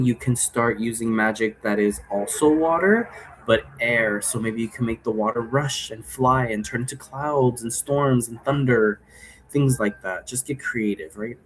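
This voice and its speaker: Man, medium pitch, casual explainer, rambling, halting delivery, checks in with listener, faint background noise. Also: rough recording. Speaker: host explaining role-play game rules.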